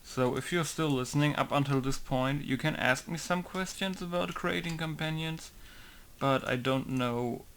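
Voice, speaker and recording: A young man, a game modder, chatting casually while he plays, rambling and often trailing off quietly. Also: on the high side, normal speed, a little hiss or hum. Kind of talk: casual commentary over gameplay.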